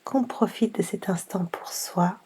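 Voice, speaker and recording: A woman guiding a yoga nidra session in a soft, half-whispered voice, unhurried, with long pauses between gentle instructions. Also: mid-range voice, husky. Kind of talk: slow guided meditation.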